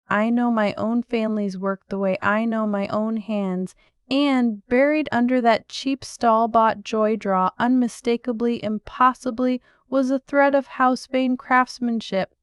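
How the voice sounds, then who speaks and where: A woman narrating a first-person story in a measured, reflective way. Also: on the high side, smooth, dry recording, clean studio sound.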